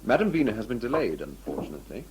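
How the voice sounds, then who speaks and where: A man's voice: on the low side, very animated, very fast, a little mellow. A man playing a stiff, disdainful butler in an audio drama, deferential but clipped and haughty. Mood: stiffly polite. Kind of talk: fan audio sci-fi drama acting. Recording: very noisy amateur audio-drama tape.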